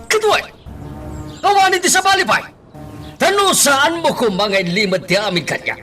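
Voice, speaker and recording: Bitter husband, radio drama, high forceful voice, explosive and accusing, music underneath. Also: male voice, animated, normal speed, exaggerated voice. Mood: furious. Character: angry, bitter husband blaming his wife. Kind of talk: Ilocano radio drama acting.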